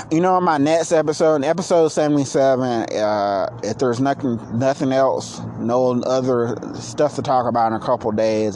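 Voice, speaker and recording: A man in his late thirties hosting a casual solo podcast, who says he is low on energy and talks in a rambling, halting way with many restarts. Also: darkish tone, a little hiss or hum. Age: 30s. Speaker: podcast host.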